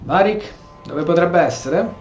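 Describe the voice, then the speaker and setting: A man's voice: sits high, normal speed, a little mellow, lo-fi sound. A man from Italy doing informal, slangy gameplay commentary with some role-play, often muttering to himself. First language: Italian. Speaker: gaming streamer. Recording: echoey room, faint game music. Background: game music.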